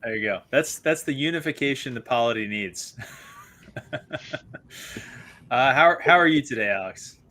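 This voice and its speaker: A man hosting a casual online book club chat, chatty and quick to laugh, often restarting his sentences. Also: medium pitch, lively intonation, bright, forceful tone, slight background noise.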